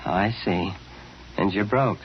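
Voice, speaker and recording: An actor in an old-time radio drama playing a nervous, lonely, murderous department-store window dresser who stammers and hesitates. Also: male voice, low voice, a little slow. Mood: nervously solicitous. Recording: muffled, hissy vintage radio recording.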